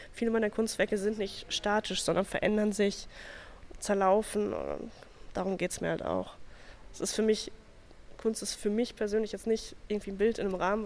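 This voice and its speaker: A woman artist reflecting casually on her work in an interview. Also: medium pitch. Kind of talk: interview answers.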